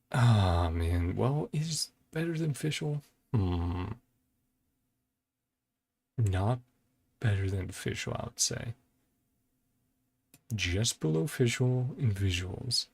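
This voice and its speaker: A man's voice: medium pitch, creaky, slightly rough, dry recording. A man giving casual reaction commentary as a video host, thinking aloud in a laid-back way with music behind him.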